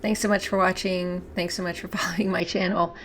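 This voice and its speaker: A woman, hosting her own channel, talking to camera in a chatty, halting way with a hoarse voice and frequent throat-clearing. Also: fairly low pitch, little pitch movement, fairly bright tone, slight background noise. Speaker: channel host. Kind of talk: casual talk to camera.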